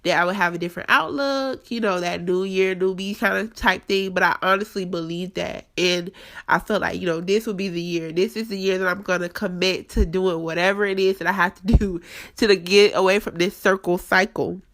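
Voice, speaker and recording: A woman's voice: fairly low pitch, steady tone, a little fast, fairly bright tone. A young woman hosting a casual faith podcast from her apartment, a bit stuffed up, talking candidly and straight to her listeners. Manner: chatty, candid, addresses listeners directly. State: stuffed-up nose. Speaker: podcast host.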